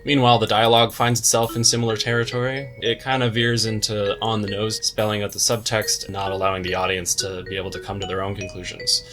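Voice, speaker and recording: Lowish voiced guy doing a book review vid, wry n slangy, bit of music behind him. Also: flat delivery, fast, few pauses, fairly bright tone. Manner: wry, tongue-in-cheek, slangy. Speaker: book reviewer, video host. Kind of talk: scripted video essay commentary with slangy asides.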